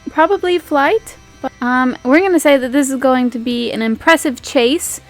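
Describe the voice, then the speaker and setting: Female game master, higher register, informal and halting storytelling for a tabletop roleplay, soft music underneath. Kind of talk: casual tabletop game narration.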